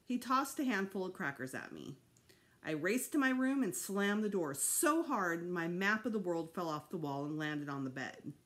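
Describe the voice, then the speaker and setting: A woman's voice: fairly low pitch, expressive, normal speed, a bit bright. A middle-aged woman reading a children's storybook aloud expressively at home, like a teacher. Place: small room at home.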